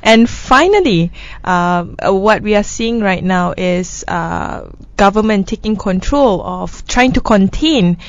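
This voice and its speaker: A woman's voice: mid-range voice, lively intonation, takes it slowly, dry recording. A woman co-hosting a casual news discussion, talking through the day's stories in a chatty way and laughing easily. Her voice sits in a middle range. The recording is a little dull and lo-fi. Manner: chatty, laughs often between news items. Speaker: co-host of a news discussion show.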